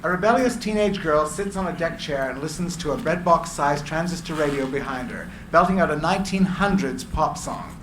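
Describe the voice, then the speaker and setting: A man reading screenplay stage directions as narrator, with deliberate descriptive phrasing. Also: on the high side, animated, fairly bright tone. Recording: echoey room, clear background noise.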